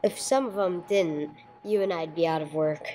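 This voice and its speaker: Woman playing a low-voiced, terse police detective. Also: moderate pace, lightly acted, rough recording. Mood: terse, dry. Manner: matter-of-fact, clipped. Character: terse, no-nonsense police sergeant detective narrating a case. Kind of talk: radio play acting and scripted narration. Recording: clear background noise, room ambience.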